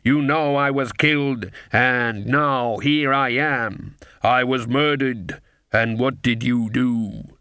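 An undead voodoo baron, acted by a male narrator, commanding and full of menace. He declaims slowly and deliberately, in a dry storybook recording. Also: mid-range voice, sharp tone, clean tone, dry recording. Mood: menacing, accusing.

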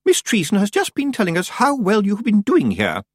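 Man doing a high, smooth, unflappable witch lady. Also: wildly swooping pitch, no room sound, studio quality, full character voice. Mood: smooth, assured. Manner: smooth, brisk, assured.

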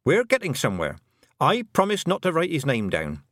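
A man doing a sharp, practical young girl. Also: on the high side, huge pitch swings, normal speed, no room sound, studio quality, pitched above the performer's own voice, heavily acted. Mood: firm.

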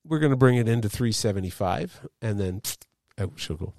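A man's voice: fairly low pitch, brisk, creaky, no echo. A middle-aged man hosting a casual sports chat, rambling as he tells stories. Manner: animated, rambling storyteller. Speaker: podcast host.

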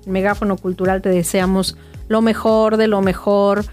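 A woman's voice: medium pitch, flat delivery, normal speed, a little mellow, faint music behind. A woman with a northern Mexican accent hosting a podcast, chatting casually with a guest in an effusive, encouraging manner. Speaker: podcast host interviewing a guest. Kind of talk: casual interview chat. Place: recording booth.

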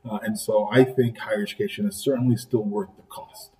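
A middle-aged man from Trinidad and Tobago, a college president answering interview questions in an earnest, deliberate way that repeats key phrases. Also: lowish voice, steady tone, mellow, slight background noise. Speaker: college president, podcast guest. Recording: voice picked up from a distance.

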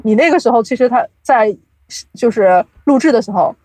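A woman's voice: fairly high pitch, lively intonation, a little fast, darkish tone, no echo. Woman chatting as a podcast guest, explains stuff eagerly, drops english words, close dry sound. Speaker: guest, audio drama producer. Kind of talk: casual interview chat.

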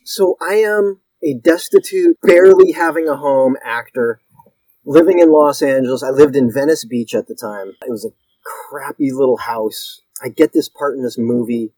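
A man's voice: mid-range voice, warm tone, breathy edge, no echo, rough recording. Rambling, self-mocking man telling stories. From Connecticut. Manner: rambling, self-deprecating, with frequent restarts. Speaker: guest actor. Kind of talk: casual storytelling interview.

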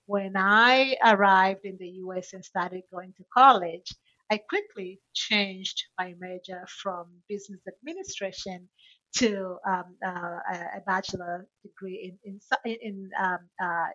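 A woman's voice: medium pitch, a little slow, sharp tone, no room sound. Hesitant woman, dull dry recording. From Tanzania. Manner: hesitant, many fillers and restarts. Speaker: podcast guest. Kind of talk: conversational interview answer.

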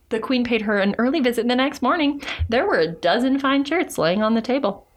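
A woman's voice: a little high, lively intonation, moderate pace. A woman hosting a podcast, reading folktales aloud and chatting casually with irreverent, sweary asides. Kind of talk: storybook reading mixed with casual chat.

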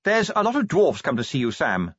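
A man voicing a bossy but caring noblewoman in an audiobook, brisk and matter-of-fact. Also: a little high, huge pitch swings, fairly bright tone, slightly rough, dry recording, muffled recording, pitched above the performer's own voice, exaggerated voice. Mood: bustling. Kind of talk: audiobook character voice.

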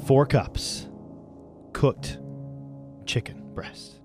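A man on a morning radio show giving a deliberate mock-dramatic recipe reading with dry asides. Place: radio studio. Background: music under the voice.

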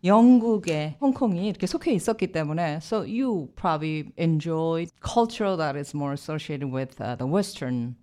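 Fast-talking low-voiced woman radio host. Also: lively intonation, dark tone. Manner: restates each answer in a second language, prompting. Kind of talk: bilingual radio interview. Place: radio studio.

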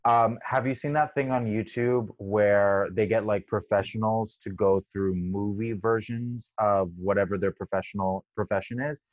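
A man's voice: lowish voice, fairly even intonation, no echo, highs cut off. A man co-hosting a podcast, chatting casually and joking playfully. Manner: joking, playful, quick asides. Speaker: podcast co-host. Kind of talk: casual chat.

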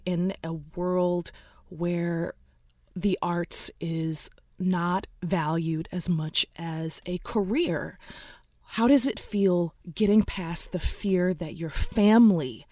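A woman in her thirties hosting a podcast, talking casually and brightly with fillers. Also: fairly low pitch, no room sound, clean studio sound. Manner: bubbly, chatty, with laughs and fillers. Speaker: podcast host. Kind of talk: casual interview chat.

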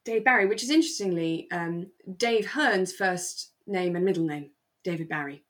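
A woman's voice: on the low side, moderate pace, fairly bright tone. A woman with a British accent chatting casually and reflectively as a podcast co-host. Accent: British English. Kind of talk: casual chat, discussing a radio drama.

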